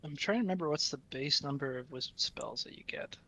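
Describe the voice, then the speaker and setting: Male tabletop player, raised pitch, informal conversational delivery. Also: animated, brisk, pushed and bright. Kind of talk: casual game table chat.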